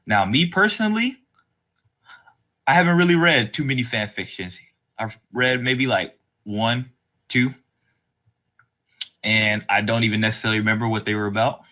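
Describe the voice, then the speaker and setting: A man's voice: medium pitch, animated, normal speed, long pauses, sharp tone. A man hosting a podcast interview in a casual, conversational and slangy way. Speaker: podcast host.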